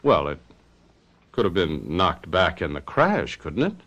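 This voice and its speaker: An actor playing a guilty, nervous husband in a radio mystery drama, with a defensive manner. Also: male voice, low voice, very animated, unhurried, fairly bright tone, dull audio, exaggerated voice. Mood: flustered, defensive. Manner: hesitant and defensive under questioning, stammering. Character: guilty, nervous husband who murdered his wife.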